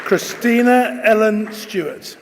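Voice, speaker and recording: A man formally announcing graduates' names at a ceremony, with measured, deliberate enunciation, in a large hall. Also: high-pitched. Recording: reverberant hall, loud crowd noise behind. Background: loud crowd noise.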